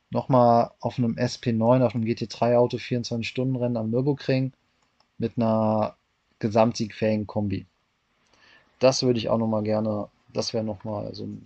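A man's voice: on the low side, creaky, mellow, no room sound, dull audio. A man in his thirties, a racing driver answering interview questions casually, hesitant with many fillers and restarts, talking over a call from a hotel room. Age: 30s.

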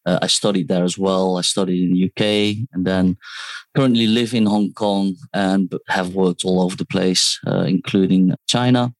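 A man's voice: low-pitched, fairly even intonation, moderate pace, dry recording. A man born in South Korea and raised in the Netherlands, talking as an interview guest about his work, thoughtful and prone to restarting words. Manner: thoughtful, restarts words, quotes others in asides. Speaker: guest, startup founder and design teacher. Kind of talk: conversational interview.

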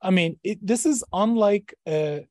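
A man's voice: high-pitched, measured pace, darkish tone, no room sound, clean studio sound. Hesitant man from Turkey, light voice. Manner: hesitant, many fillers and restarts. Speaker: startup founder and professor. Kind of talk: interview guest.

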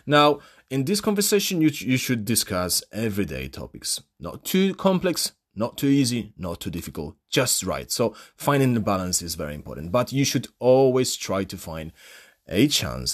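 Podcast host: Polish-accented man, fairly low voice, very animated delivery, teacherly solo talk into a phone. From Poland. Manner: animated, rhetorical questions, frequent fillers.

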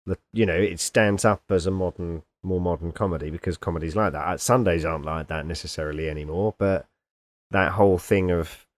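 An easygoing podcast co-host with a low, deep voice. He chats in a relaxed way. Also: a little fast. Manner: easygoing, chatty. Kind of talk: casual chat.

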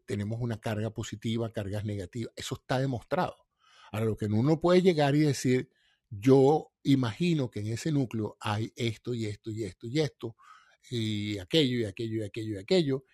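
A man's voice: mid-range voice, a little mellow, breathy edge, no echo, clean studio sound. A middle-aged man who hosts a science talk, explaining ideas patiently with everyday examples.

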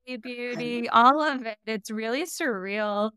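A woman's voice: a little high, slow, sharp tone, clear, resonant tone, dry recording, studio quality. An American woman hosting a podcast, talking in a chatty, reflective way with frequent fillers and light laughs. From United States. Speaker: podcast host. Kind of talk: casual interview chat.

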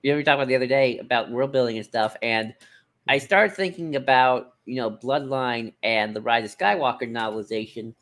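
A man talks casually as a podcast co-host. His voice sits at a middle pitch. He rambles loosely, working out his thoughts as he speaks. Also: brisk, a bit bright. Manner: loose, rambling, thinking aloud. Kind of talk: casual chat about books.

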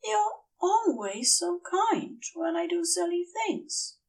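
A woman voicing a gentle, adoring male celestial being, earnest and tender in a story reading. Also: very high-pitched, very animated, takes it slowly, far from the mic, voice pushed up, heavily acted. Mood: earnest, emotional.

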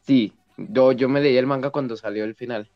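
A man's voice: mid-range voice, fairly even intonation, moderate pace, no room sound, muffled recording. A man from Colombia with a Colombian Spanish accent, chatting casually and often repeating his phrases. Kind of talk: casual group chat. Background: music.

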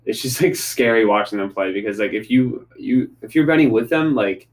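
A man on a casual sports chat, speaking haltingly with many fillers and small laughs. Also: medium pitch, animated. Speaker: panelist.

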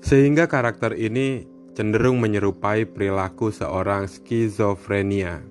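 A man's voice: on the low side, a little slow. A man hosting a horror podcast, reading scripted narration. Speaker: podcast host. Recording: music bed behind the voice. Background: background music.

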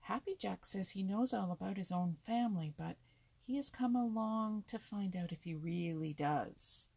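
A woman voicing a dignified, earnest male rabbit in a storybook, quick to retort. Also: mid-range voice, expressive, vocal fry, dark tone, husky. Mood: matter-of-fact.

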